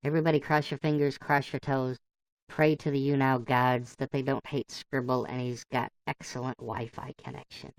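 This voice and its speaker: A man with a gravelly, voice-changed sound, chatting casually and easygoing as he hosts a livestream. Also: mid-range voice, flat delivery, moderate pace, no room sound, poor audio. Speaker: livestream host playing a computer-generated fox.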